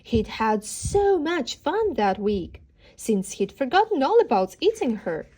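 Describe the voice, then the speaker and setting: A woman's voice: a little high, very animated, a little slow, a little mellow, slightly rough. A woman narrating a children's storybook as a teacher would, expressively, with dramatic emphasis on key words. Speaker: teacher reading aloud.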